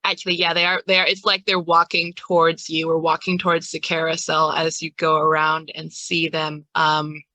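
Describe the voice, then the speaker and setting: A woman's voice: low-pitched, little pitch movement, moderate pace, few pauses, pushed and bright, no echo. A woman game master improvising scene descriptions in a conversational way for a tabletop role-playing game.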